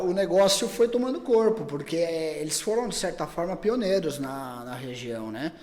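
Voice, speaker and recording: A man's voice: a little high, a little mellow, big-room sound. A middle-aged man, talking at length in a casual podcast chat and often restarting his words. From Brazil. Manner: talks at length, many repeated words and restarts. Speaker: pet store chain owner, guest.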